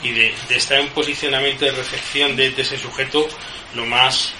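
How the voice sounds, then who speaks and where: A man, himself a poet, musing in a halting, self-deprecating way while posing questions to a fellow poet. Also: medium pitch, level tone. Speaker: poet, interviewer. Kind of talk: literary conversation. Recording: echoey room, noisy background.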